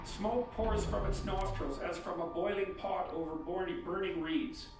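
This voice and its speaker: A man lectures and reads scripture aloud with declamatory emphasis. The sound is extremely echoey and distant, under very loud ambient noise. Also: high-pitched, normal speed. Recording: very echoey, distant, very noisy playback.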